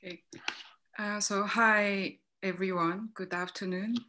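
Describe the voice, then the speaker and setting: A woman's voice: mid-range voice, steady tone, a little slow, bright, forceful tone. A woman in her thirties from South Korea, whose first language is Korean, speaking English with a Korean accent as she opens a panel, thinking aloud with many fillers over a laptop video call from a home study. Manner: thoughtful, hesitant, many fillers. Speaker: moderator. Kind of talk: opening remarks on a panel. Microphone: laptop mic on a video call.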